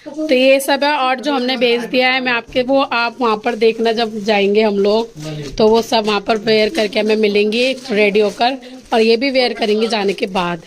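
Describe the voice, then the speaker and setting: A woman's voice: fairly high pitch, moderate pace. Chatty young woman with a sore throat. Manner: chatty, shows items one by one to the camera. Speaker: vlogger. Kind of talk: casual family vlog chat.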